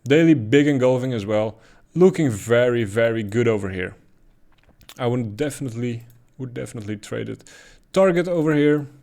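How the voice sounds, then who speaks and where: A man with a Dutch accent talks through trading charts at an easy, unhurried clip. His pitch sits in a middle register, and he fills pauses with ums and uhs as he thinks out loud. It sounds like a relaxed voice-over recorded at a home desk. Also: vocal fry. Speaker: crypto chart analyst. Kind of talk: casual market commentary over charts.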